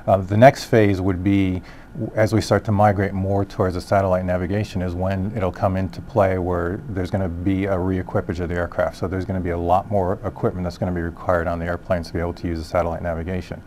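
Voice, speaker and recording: A man giving explanatory interview answers as an industry expert, haltingly rephrasing as he goes. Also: low-pitched, level tone, darkish tone, a little hiss or hum. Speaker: industry expert, solutions director.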